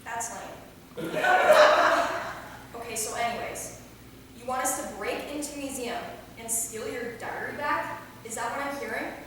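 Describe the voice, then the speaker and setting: A woman's voice: on the high side, unhurried, long pauses, fairly bright tone. A woman acting a brash, sarcastic, slangy young vampire in a live staged play reading. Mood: flippant. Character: brash, flippant, excitable female young vampire. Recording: echoey hall from far off, faint noise.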